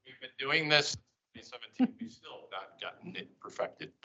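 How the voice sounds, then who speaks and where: Man with mid-pitched voice, muffled. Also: expressive, fairly quick, pushed and bright. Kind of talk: casual talk to a group.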